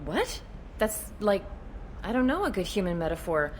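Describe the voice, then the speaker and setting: A woman's voice: mid-range voice, sing-song, normal speed, a little hiss or hum, lightly acted. A woman playing a wary alien police officer in a video game, explaining matters frankly with slight exasperation. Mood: exasperated, firm.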